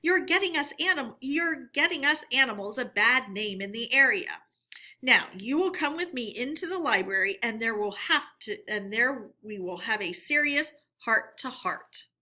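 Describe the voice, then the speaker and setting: A woman's voice: fairly high pitch, lively intonation, normal speed, bright, forceful tone, heavily acted. A woman voicing a stern, solemn male badger from a children's storybook, commanding and formal. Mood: stern, reproving. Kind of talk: children's storybook character reading.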